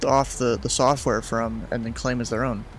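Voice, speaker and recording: A man's voice: mid-range voice, fairly even intonation, moderate pace, slight background noise. A young man hosting a live stream from his home room, talking bluntly and casually with lots of slang. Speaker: streamer host.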